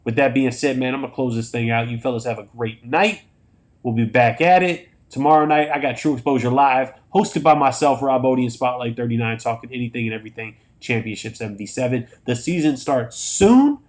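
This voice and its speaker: A man's voice: medium pitch, fairly quick. Crackly-voiced American man hosting sports talk. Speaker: host of a live sports show. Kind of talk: casual sports talk on a livestream.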